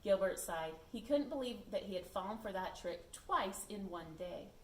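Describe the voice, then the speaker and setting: A woman reading a children's picture book aloud in an expressive, animated way. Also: mid-range voice, moderate pace. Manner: animated, acts out the dialogue. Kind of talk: expressive storybook read-aloud. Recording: echoey room, distant mic, background noise.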